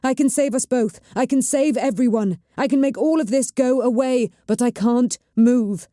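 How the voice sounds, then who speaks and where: Brief: battered woman navigator in chronic pain, acid and commanding, low dark voice, read by a woman narrator. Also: animated, a little slow, dry recording, studio quality. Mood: urgent. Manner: gritted-teeth, acid and commanding.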